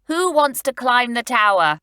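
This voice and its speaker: A woman's voice: fairly high pitch, very animated, a little slow, bright, forceful tone, clear, resonant tone, no echo, clean studio sound, pitched above the performer's own voice, exaggerated voice. A woman voicing a confident, eager boy who leads a group of children in a storybook.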